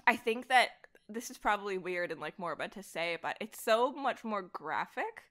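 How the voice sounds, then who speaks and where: A woman chatting casually as an interview guest, animated, giggly and self-deprecating about herself. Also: medium pitch, moderate pace. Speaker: podcast guest, voice actor and director. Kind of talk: casual interview chat about comics and horror.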